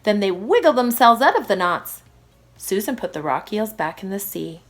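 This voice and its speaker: A woman reading a children's story aloud like a primary teacher, with expressive, emphatic phrasing for young listeners. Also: medium pitch, moderate pace, soft background music.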